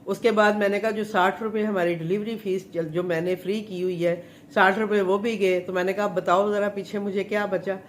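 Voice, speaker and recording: A woman's voice: medium pitch, fairly even intonation, a little fast, a little hiss or hum. Chatty middle-aged woman, sore throat. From Pakistan. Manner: chatty, rambling, many fillers. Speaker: home chef. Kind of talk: casual live chat.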